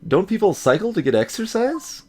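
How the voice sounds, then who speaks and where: An earnest young defense lawyer in a video game, voiced by a man. He is dry and skeptical, with an animated rise and fall to his lines. A little noise sits behind him. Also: high voice, a little fast, pitched above the performer's own voice. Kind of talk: voiced visual novel dialogue.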